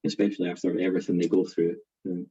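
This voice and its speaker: A man's voice: low-pitched, completely flat delivery, fast talker, dark tone, breathy edge. A man chatting casually in a book discussion, recorded in muffled lo-fi audio.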